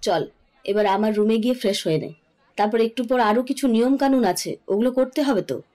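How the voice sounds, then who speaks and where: Mellow, bossy, caring mother played by an actress. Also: a little high, fairly quick, lightly acted. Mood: caring, firm. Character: bossy but caring middle-aged mother and mother-in-law. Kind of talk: audio story acting.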